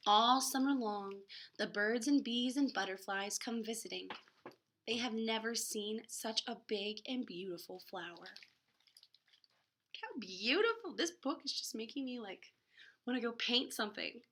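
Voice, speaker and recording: Female storybook reader, higher-pitched and bright, teacherly, expressive delivery with casual asides for young listeners. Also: unhurried, a little hiss or hum. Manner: dramatic emphasis, gasps and exclamations. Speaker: children's picture book reader and teacher. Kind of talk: expressive storybook read-aloud with casual chatty asides to children.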